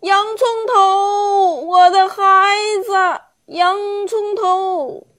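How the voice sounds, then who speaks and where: A woman's voice: extremely high pitch, fairly even intonation, extremely slow, fairly bright tone, no echo, pitched above the performer's own voice, exaggerated voice. A woman voicing a poor, frightened old onion father who pleads and cries out in panic. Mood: panicked.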